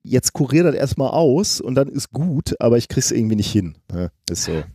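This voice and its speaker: Man with a cold, podcast host, mellow tone, rambling self-mocking chat, dry close recording. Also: mid-range voice, sing-song, moderate pace, husky, no echo. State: has a cold.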